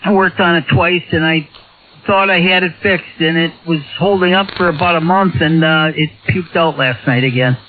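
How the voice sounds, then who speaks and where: A man's voice: a little high, fairly even intonation, normal speed, narrow, tinny audio. A plainspoken man, chatting casually over CB radio. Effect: radio transmission. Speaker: CB radio operator. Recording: thin, band-limited CB radio signal.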